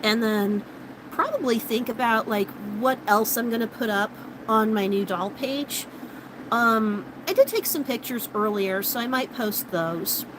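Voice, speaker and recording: A woman's voice: on the high side, lively intonation, unhurried, noisy background. Chatty woman in her late thirties. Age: 30s. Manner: rambling, chatty, many fillers and restarts. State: starting to lose her voice. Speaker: vlogger. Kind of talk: casual chat with live viewers.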